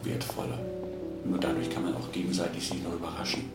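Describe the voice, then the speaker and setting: A man's voice: sits low, completely flat delivery, quick pace. A man giving his opinion spontaneously, as in an interview. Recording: roomy echo, loud guitar music.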